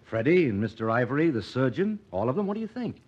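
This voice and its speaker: An actor playing an earnest, proud, stern doctor in a serious old radio drama manner. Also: male voice, medium pitch, big pitch swings, fast talker, a little mellow, slightly rough. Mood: bitter, determined. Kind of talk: 1940s radio drama acting. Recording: dull, muffled old radio recording.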